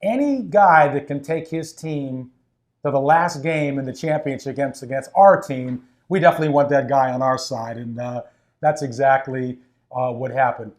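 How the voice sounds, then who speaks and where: A man's voice: mid-range voice, moderate pace. A man hosting a casual sports interview in a coach's office at an ice rink, upbeat and chatty with fillers. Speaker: team broadcaster and host.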